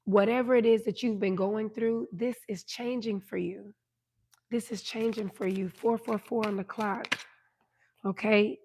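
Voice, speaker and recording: A woman with a hoarse voice giving a tarot reading on a livestream, speaking soothingly and checking in with "right?" and "okay?" as she goes. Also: mid-range voice, darkish tone. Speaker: tarot reader.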